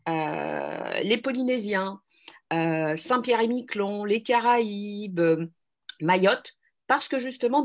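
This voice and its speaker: A middle-aged woman from France, a guest in a radio interview, speaking earnestly with frequent restarts and hesitations. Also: mid-range voice.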